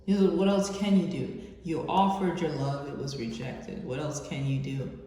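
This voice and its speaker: A woman host giving an intuitive love reading off the cuff, halting and drawn out, with pauses. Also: low voice, warm tone. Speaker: tarot reader, channel host. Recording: very echoey room, faint background noise.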